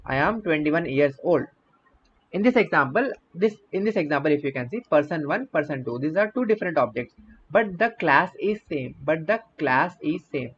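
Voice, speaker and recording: A man's voice: a little high, lively intonation, normal speed, a bit bright. A young man, teaching an online coding class and often repeating and rephrasing his points. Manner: explanatory, repeats and rephrases himself. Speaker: coding bootcamp instructor. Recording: slightly dull audio with faint noise.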